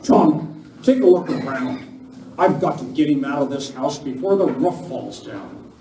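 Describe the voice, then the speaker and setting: An actor playing an earnest, commanding masked lawman hero in a live Western radio play, speaking deliberately. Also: on the high side, dark tone, natural voice. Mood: calm command. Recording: echoey room from far off, noisy.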